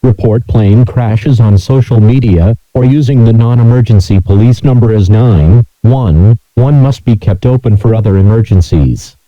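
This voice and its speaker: A man's synthesized voice reading scripted emergency alert messages in a flat, measured, robotic delivery. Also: lowish voice, no room sound, lo-fi sound.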